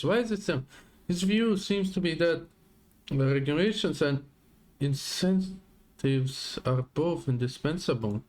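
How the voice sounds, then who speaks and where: A man's voice: a little high, darkish tone, slight background noise. A man streaming a video game live, muttering casual asides and reacting as he plays. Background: faint game music.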